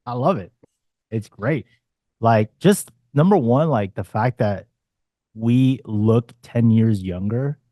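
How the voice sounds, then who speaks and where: A man's voice: medium pitch, lively intonation, slow pace, mellow, dry recording, studio quality. A man in his forties, a dating coach talking bluntly and casually on a panel, swearing freely and throwing in punchy asides. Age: 40s.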